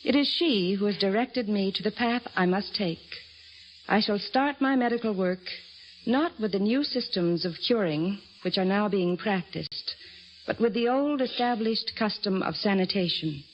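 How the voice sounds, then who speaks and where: A woman's voice: medium pitch, moderate pace, close to an everyday voice. An actress playing an earnest, resolute young woman doctor of the nineteenth century in an old-time radio drama. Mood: hopeful, resolute. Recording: dull, noisy old radio recording.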